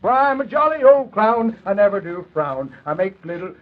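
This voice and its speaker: An actor playing a jolly, muddled old circus clown in a 1940s radio play, giggly and dithering, repeating himself and rhyming in singsong. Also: male voice, high-pitched, sing-song, normal speed. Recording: muffled, narrow 1940s radio recording.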